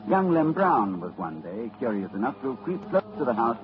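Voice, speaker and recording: A man's voice: mid-range voice, big pitch swings, moderate pace, lightly acted. An actor narrating as a learned, grave man in a 1940s-style radio horror drama, telling the tale dramatically. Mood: solemn. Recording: dull old radio broadcast with music.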